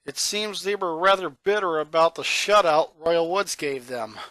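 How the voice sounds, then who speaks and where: A man narrates a story from a script. His voice sits high for a man and has a bright, crisp edge. The sound is dry, with very little room around it. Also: moderate pace, no echo. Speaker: fan fiction reader, narrator.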